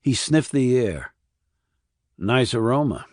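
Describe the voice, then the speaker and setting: A man's voice: mid-range voice, sing-song, takes it slowly, no echo, clean studio sound. A man reading scripted crime thriller narration as an audiobook narrator.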